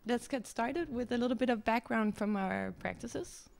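A young adult woman from Denmark introduces herself and her work in an upbeat, friendly way. Her voice sits a little high. She speaks into a mic on a small studio stage. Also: normal speed, vocal fry, a little hiss or hum. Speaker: filmmaker. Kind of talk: casual conversation on a radio stage. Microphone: handheld mic on a stand.

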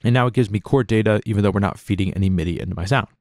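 A man narrating a casual step-by-step software tutorial in an informal, explanatory way. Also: fairly low pitch, very fast, loud music behind the voice.

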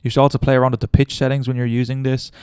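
A man hosting a casual step-by-step software tutorial in an informal, instructional way, as a close voice-over. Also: medium pitch, little pitch movement, rapid-fire, smooth, no room sound. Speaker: tutorial host.

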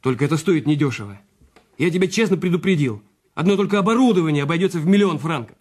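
An actor in a radio drama playing a resentful husband, defensive and sardonic, given to brooding. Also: male voice, fairly high pitch, animated, fast, husky. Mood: defensive, irritated. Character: bitter resentful husband, a French masseur.

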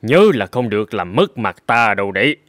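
A man voicing a kindly, portly older gentleman in a cartoon, speaking in a gentle, measured, encouraging way. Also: lowish voice, sing-song, no room sound, clean studio sound. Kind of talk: cartoon voice acting.

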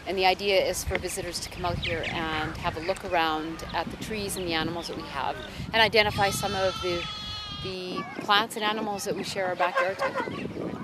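A woman biologist explaining birds and research outdoors, in a clear, patient way. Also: lowish voice, a little fast, very noisy. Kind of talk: explaining on camera. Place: outdoors on a farm.

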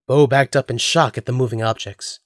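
Guy narrating a story, medium pitch, dry sound with no room. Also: fairly even intonation, a little fast, no room sound.

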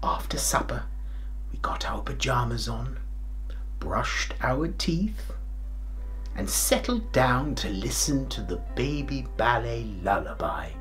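A middle-aged man playing a kindly, jolly, forgetful old grandad king, telling a children's story in an expressive, theatrical way. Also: medium pitch, slow, faint music behind, rough recording. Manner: theatrical, expressive, with hammed-up hesitations and chuckles.

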